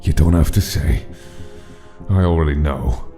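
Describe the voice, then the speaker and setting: A man voicing a menacing skeleton mobster in a comic dub, rough-voiced and mockingly flirtatious, with a taunting drawl. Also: very deep voice, expressive, unhurried, dark tone. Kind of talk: dramatic comic dub acting. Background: music.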